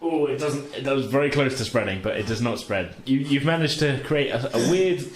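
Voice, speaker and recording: A man's voice: medium pitch, fairly quick. Man, game master, casual hedging narration, jokey, roomy echoing recording. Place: echoey indoor room.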